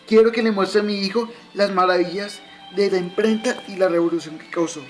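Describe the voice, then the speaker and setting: A man's voice: high voice, fairly even intonation, brisk, soft background music, natural voice. A man playing a patient, instructive dead father in an amateur radio drama, explaining things to his son.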